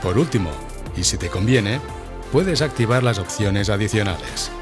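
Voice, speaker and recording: A man narrating a scripted software tutorial in a measured, instructional way. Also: lowish voice, expressive. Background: music.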